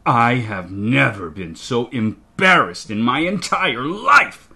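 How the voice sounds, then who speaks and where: A man's voice: lowish voice, lively intonation, unhurried. An angry father played by a male narrator lays down the law to his child in an audiobook. He is stern and mortified, seething through clenched teeth and leaning hard on his words. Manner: seething, emphatic, hissing through gritted teeth. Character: stern, enraged, embarrassed father scolding his daughter. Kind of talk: audiobook character dialogue.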